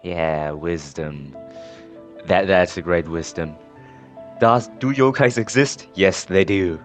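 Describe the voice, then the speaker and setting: A young man giving casual, sarcastic game commentary, halting and repeating his words. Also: deep voice. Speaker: gamer recording a playthrough. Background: faint game music.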